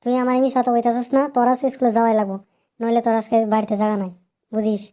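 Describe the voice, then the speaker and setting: A woman's voice: fairly high pitch, fairly even intonation, very fast, dark tone, close to an everyday voice. A woman voicing a strict, fed-up mother in a comic cartoon, scolding bluntly in an eastern Bengali accent. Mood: exasperated scolding.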